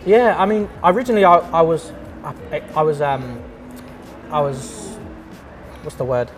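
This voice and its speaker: A man's voice: a little high, a little slow, over music. Chatty man, easygoing. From London. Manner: relaxed and chatty, full of fillers and restarts. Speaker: artist and art teacher. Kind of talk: casual interview chat.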